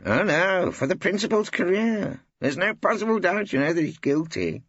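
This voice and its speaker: A man's voice: high voice, animated, moderate pace, a bit bright, breathy edge, no echo, lo-fi sound, pitched above the performer's own voice, heavily acted. A man voicing a sly, ghoulish, slanderous male law tutor with a grating, conspiratorial delivery, in an audiobook. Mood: ghoulish relish. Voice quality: grating, like tearing metal. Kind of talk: audiobook character acting.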